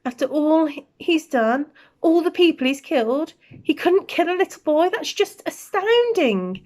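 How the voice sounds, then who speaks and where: A woman's voice: high-pitched, big pitch swings, a little slow, darkish tone, pitched above the performer's own voice. A woman voicing an anxious, stern older woman professor in a storybook, with exclaiming, emotional delivery. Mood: anxious, astonished. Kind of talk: storybook character reading.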